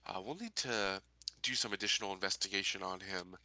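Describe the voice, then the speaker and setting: A man playing a tabletop roleplaying game, talking casually and deliberately with frequent hesitant pauses. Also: sits low, bright, forceful tone, no room sound, low-quality recording. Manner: deliberate, hesitant, pausing often.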